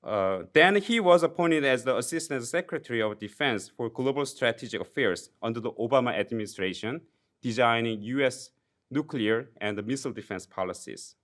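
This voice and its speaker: Young Korean-accented man introducing a professor from the podium, measured and formal with light self-deprecation. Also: medium pitch, animated, fairly bright tone. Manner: reads a formal tribute with occasional self-deprecating jokes, some restarts. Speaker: PhD graduate introducing a speaker. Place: university lecture hall. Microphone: podium microphone.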